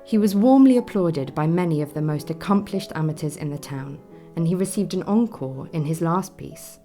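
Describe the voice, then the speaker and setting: An actress voicing a formal Victorian newspaper critic, reading deliberately in a radio play. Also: female voice, low-pitched, animated, mellow, soft background music. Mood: formal, appraising. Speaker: reader of newspaper notices. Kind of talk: dramatised reading of 19th-century newspaper.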